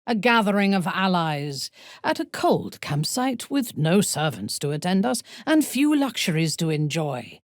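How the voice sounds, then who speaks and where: An actress playing a ruthless, haughty female drow commander in a video game, with a husky, breathy voice and a measured, imperious, menacing delivery. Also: fairly low pitch, big pitch swings, no room sound, clean studio sound. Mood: proud, measured. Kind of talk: video game character voice acting.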